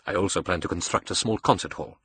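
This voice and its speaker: A man plays a cold male villain mastermind for an audiobook. He is low and husky, speaking softly and formally, measured and controlling, with an edge. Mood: cool, measured. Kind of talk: audiobook character dialogue.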